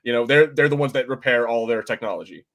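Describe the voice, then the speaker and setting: Guy podcast host, mid pitch, rambly n halting, just casually explaining stuff. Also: a bit bright. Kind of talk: casual pop-culture discussion, explaining game lore.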